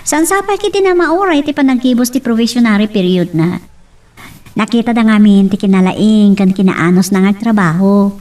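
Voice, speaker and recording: A woman's voice: medium pitch, moderate pace, a little mellow, far from the mic, close to an everyday voice. A woman with an Ilocano accent playing an affectionate young sweetheart and daughter in a romance radio drama. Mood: reassuring.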